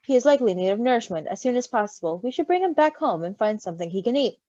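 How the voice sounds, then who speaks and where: A woman's voice: a little high, animated, racing, warm tone, no echo, highs cut off. A woman voicing a precise, practical beaked male creature who gives formal instructions in a storybook. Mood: concerned.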